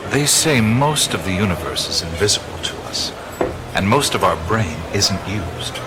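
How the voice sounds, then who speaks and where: An actor playing a laid-back American adventurer in an audio drama, hesitant and understated with a wry manner. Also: male voice, lowish voice, animated, moderate pace, natural voice. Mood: calm, reflective. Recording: music under the voice.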